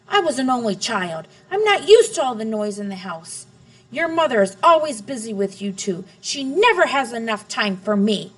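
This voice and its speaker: A woman reading aloud, voicing a short-tempered father who yells and scolds. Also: fairly high pitch, rough recording. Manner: yelling, scolding. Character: angry, short-tempered father, grown man.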